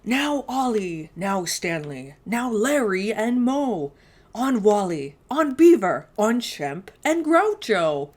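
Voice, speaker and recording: A rugged little old storybook farmer, read by a woman, speaking slowly and emphatically. The character is lively and hearty, with big swings in intonation. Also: medium pitch. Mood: hearty calling. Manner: hearty, calling out loudly.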